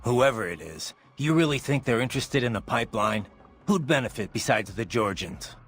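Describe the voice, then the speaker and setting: An actor playing a confident, wry cyborg mercenary in a video game. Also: male voice, medium pitch, lively intonation, normal speed, fairly bright tone, slightly rough. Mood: serious. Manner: cool, wry. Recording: game music behind.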